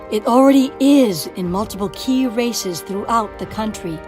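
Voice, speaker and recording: A woman reading an emphatic, scripted narration that builds to louder declarations. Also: medium pitch. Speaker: narrator of a promo video. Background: music.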